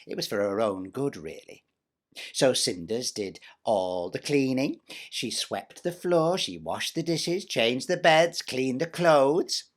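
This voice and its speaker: A man's voice: mid-range voice, animated, takes it slowly. A man voicing a vain, bossy, spiteful stepsister in a chatty, self-satisfied comic pantomime monologue. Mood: smug, gossipy.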